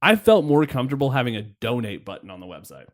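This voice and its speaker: Man, 30s, podcast co-host, casual chat, excitable, laughs and swears, mid-pitched voice. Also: fast talker. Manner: excitable, laughs and swears, jumps between thoughts.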